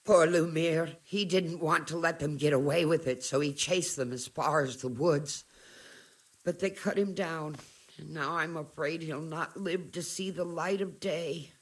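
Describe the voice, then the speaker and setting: An actress voicing a medieval peasant wife in a video game, earthy and emphatic, bitter and grateful by turns. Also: female voice, low voice, a little slow. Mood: bitter, distressed.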